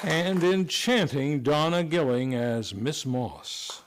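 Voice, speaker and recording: A man with a slightly high voice announces an old-time radio show. His delivery is formal, scripted and theatrical, with faint noise behind him. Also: expressive, slow, a crowd in the background.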